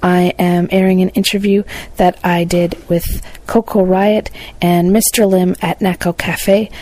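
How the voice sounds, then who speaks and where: A woman's voice: on the low side, level tone, a little slow, mellow. A woman presenting a radio show, announcing an interview in a plain presenter's style.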